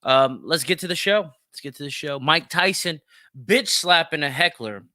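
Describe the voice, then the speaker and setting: A man's voice: fairly high pitch, moderate pace, bright, forceful tone, dry recording, studio quality. A man hosting a show with casual, informal commentary and frequent swearing. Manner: informal, profane. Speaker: show host.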